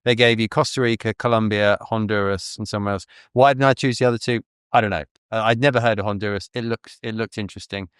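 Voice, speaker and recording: A man's voice: lowish voice, steady tone, quick pace, hardly any pauses, fairly bright tone, clean tone, dry recording, studio quality. A man hosting a podcast interview, talking in a laid-back, chatty way with lots of "yeah" and small chuckles. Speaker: podcast host. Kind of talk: casual interview chat.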